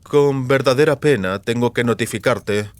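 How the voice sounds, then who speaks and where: A man's voice: medium pitch, moderate pace, no echo, natural voice. A man voicing a coldly courteous villainous sorcerer. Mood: cold blaming. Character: male sorcerer villain, falsely polite, coldly menacing captor.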